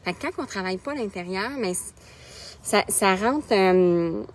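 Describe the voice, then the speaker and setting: A Québécois woman in her thirties chats in a loose, conversational way, coaching on nutrition and breaking off to greet viewers by name. Her voice sits at a middle pitch. A faint hiss lies under the recording. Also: animated, a little slow. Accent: Québécois French. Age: 30s. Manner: casual, chatty, greets viewers by name mid-sentence. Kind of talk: live stream chat with viewers.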